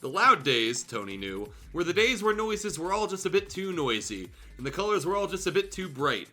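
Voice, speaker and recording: A man with a bright, slightly high voice reads a comic story aloud in a very animated way. He slips between narration and irreverent, sweary asides like a casual show host. Music plays behind him. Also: moderate pace. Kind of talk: comedic fan fiction reading with casual asides.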